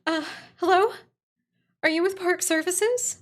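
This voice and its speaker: A woman acting high-pitched dialogue in a horror radio play, calling out in a forest encounter. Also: unhurried. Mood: alarmed. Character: park ranger approaching a stranger, calling out.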